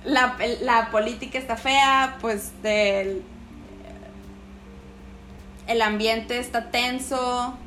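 A woman's voice: fairly high pitch, drawn-out words, long pauses, a little hiss or hum. A young Mexican woman with a Mexican accent, chatting casually as an interview guest, full of slang and acting out what others said. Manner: slangy, animated, quotes others in voices.

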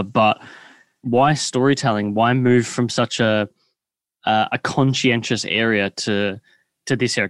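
Low-voiced man as a laid-back podcast interviewer. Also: dry recording. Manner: relaxed questioning, conversational. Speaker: podcast host. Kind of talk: casual interview.

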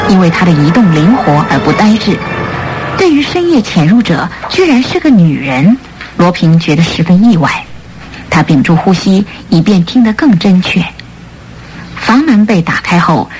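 A woman narrating a radio drama from a script in an expressive storytelling manner. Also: lowish voice, rough recording. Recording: studio radio play with music bed.

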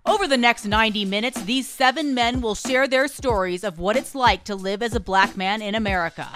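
A woman's voice: on the high side, a little fast, fairly bright tone. A woman reading a scripted promo as the announcer. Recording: music bed behind.

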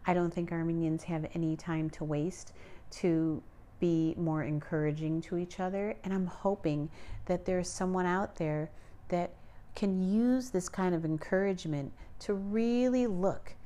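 A woman's voice: fairly low pitch, steady tone, a little slow, warm tone. A woman hosting a solo podcast, talking earnestly and pleading with her listeners to be kind to each other. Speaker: podcast host.

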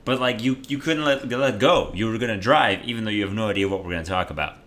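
A man with a very deep voice co-hosts a podcast. His voice has a bright, forceful edge, and he teases his friend dryly. A faint room hum sits behind him. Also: fast talker. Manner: dry, teasing banter. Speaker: podcast co-host. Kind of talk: casual chat.

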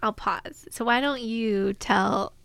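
A woman's voice: fairly high pitch, fairly even intonation, slow pace, creaky, a bit bright, no echo. A woman co-hosting a chatty podcast, upbeat and eager. Her voice sits close and dry with no room around it. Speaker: podcast co-host. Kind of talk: casual chat.

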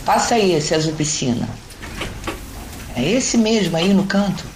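Circus dancer female actor, Brazilian, outspoken and hopeful, lowish voice, faint music under colloquial drama dialogue. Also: expressive, measured pace, rough recording. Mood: resigned.

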